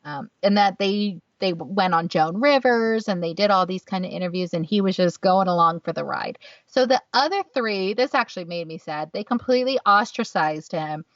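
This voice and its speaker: A woman's voice: medium pitch, lively intonation, normal speed, few pauses, dry recording. A woman co-hosting a podcast, telling stories in a chatty casual way with lots of fillers. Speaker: podcast co-host. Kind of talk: casual chat. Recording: dull, distant, dry sound.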